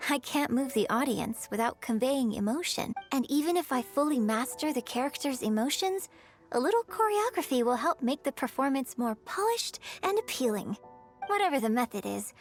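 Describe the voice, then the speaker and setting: A woman playing a graceful young opera performer in a video game, speaking in a poised, articulate, gently instructive way. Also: fairly high pitch, close to an everyday voice. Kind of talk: scripted video game character dialogue. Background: music.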